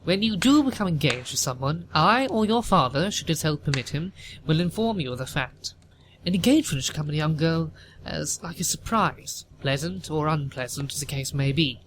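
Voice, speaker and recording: A haughty older upper-class matriarch from a period audio play, acted by a man. The voice sits fairly high for a male performer and interrogates with pompous disapproval. Noticeable noise runs behind the recording. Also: expressive, normal speed, a bit bright, low-quality recording. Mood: stern, indignant. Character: haughty, imperious upper-class Victorian mother, older woman. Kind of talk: theatrical audio drama acting.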